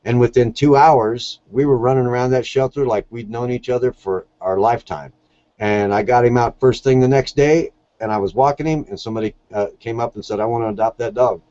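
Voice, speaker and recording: A man's voice: medium pitch, fairly quick, dark tone, dry recording, muffled recording. A man talking casually as an interview guest, telling stories in a plain-spoken, earnest way. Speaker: podcast guest, shelter dog volunteer.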